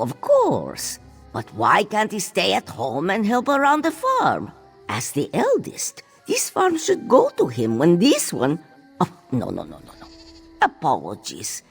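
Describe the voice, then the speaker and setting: A woman voicing an aging female cat-folk farm owner in a fantasy video game, a proud, exasperated mother with a husky voice and formal third-person phrasing. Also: rumbling low, heavily acted. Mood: fond, wistful, then flustered, apologetic.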